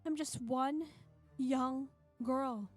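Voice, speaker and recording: A woman's voice: high-pitched, steady tone, very slow, darkish tone, soft background music, heavily acted. A woman voicing an emotional teenage girl, a reborn saintess, dramatically reading first-person visual novel narration. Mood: anguished.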